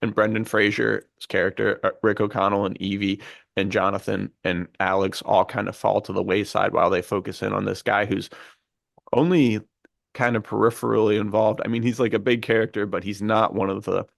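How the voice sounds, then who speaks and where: Man, lowish gravelly voice, dry close sound, casual co-host chat, slangy enthusiasm. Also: little pitch movement, brisk, dry recording. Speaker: podcast co-host. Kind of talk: casual podcast discussion.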